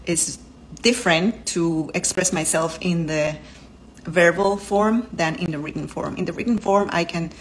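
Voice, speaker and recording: Spanish-accented woman in her 30s talking to camera at home, earnest, kinda low voice, faint room hum. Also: a little slow, poor audio. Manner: earnest, explanatory, lists terms one by one. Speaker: vlogger and doctor. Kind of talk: casual talk to camera. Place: home living room, indoors.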